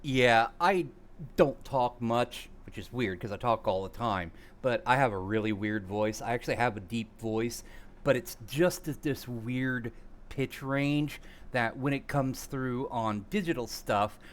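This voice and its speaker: A man's voice: mid-range voice, measured pace, slight background noise. A man with a nasal sound, chatting casually on a live stream and muttering at his computer. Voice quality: nasal on recordings.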